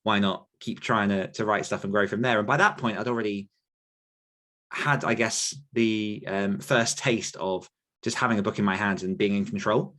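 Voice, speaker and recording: Low-voiced man hosting a relaxed chat. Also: normal speed. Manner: loose, rambling with frequent restarts and fillers. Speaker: podcast host and author coach. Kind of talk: casual conversational podcast chat.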